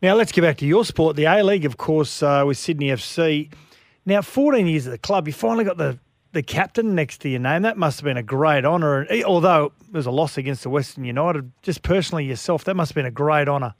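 A man's voice: on the high side, animated, fast talker, no room sound. A man co-hosting a sports radio show, teasing and playful with the guest. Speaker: radio co-host. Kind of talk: casual sports radio chat.

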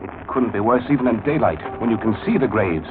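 An actor playing a reluctant middle-aged former attorney in a 1940s radio mystery, uneasy, halting and grumbling. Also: male voice, on the low side, lively intonation, music in the background, close to an everyday voice. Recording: old 1940s radio broadcast, tinny, noisy.

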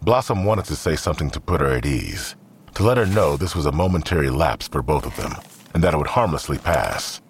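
A man narrating a scripted audio drama in a measured, suspenseful, storytelling manner. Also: rumbling low, animated, a little hiss or hum.

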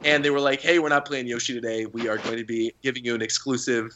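A young man co-hosting a podcast, telling stories with excitement and laughing often. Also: medium pitch, quick pace, lo-fi sound, a little hiss or hum. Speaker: podcast co-host. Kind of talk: casual podcast chat.

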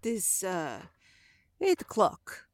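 A woman playing a rambling old widow with a dark secret. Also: medium pitch, wildly swooping pitch, slow pace. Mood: doddering. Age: older. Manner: doddering, rambling, bawdy, turns menacing. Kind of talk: audio drama acting.

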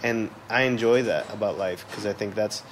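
A man's voice: on the low side, moderate pace, low-quality recording. A man in his thirties chatting casually as an interview guest, slangy and rambling, with lots of "like.". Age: 30s. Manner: rambling, heavy use of "like", slangy. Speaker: musician, podcast guest. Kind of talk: casual chat. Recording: noticeable background noise.

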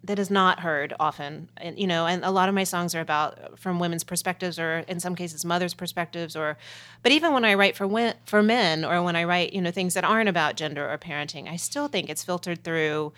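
A woman's voice: lowish voice, a little fast, fairly bright tone. A woman songwriter, a guest in a casual interview, talking in a candid, reflective way with some self-mocking humor.

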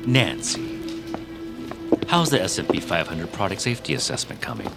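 An actor playing a self-important, manipulative tech CEO, smoothly condescending with theatrical pauses, in an audio drama. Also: male voice, on the low side, very animated, loud music behind the voice. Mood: pompous, chummy.

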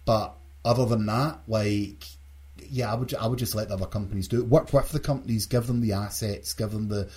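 Male podcast host, husky and soft-edged, mellow tone, casual news chat. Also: lowish voice, fairly even intonation, fairly quick. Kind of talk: casual gaming news discussion.

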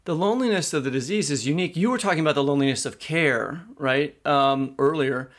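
A man's voice: on the high side, quick pace, a bit bright. A man co-hosting a discussion, conversational and opinionated, restarting sentences as he makes his point. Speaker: podcast co-host.

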